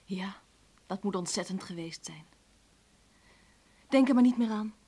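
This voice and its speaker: An actress playing a caring sweetheart in a radio drama. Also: female voice, mid-range voice, unhurried, mellow, husky. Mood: soothing, curious. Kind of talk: Dutch radio drama acting.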